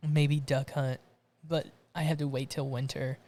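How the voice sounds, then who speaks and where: A man's voice: mid-range voice, little pitch movement, a little slow, dark tone. A man from Romania hosting a podcast from his garage studio, chatting casually and rambling with frequent restarts. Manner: rambling, restarts and laughs often. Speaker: podcast host. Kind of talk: casual chat.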